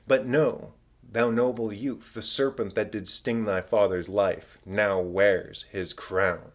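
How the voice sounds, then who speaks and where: Man performing a grave, low-voiced royal ghost. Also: steady tone. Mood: bitter, grieving. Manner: solemn, declamatory, drawn-out phrasing. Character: ghost of a murdered king, grave, tormented, vengeful father. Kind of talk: dramatic Shakespeare audiobook reading.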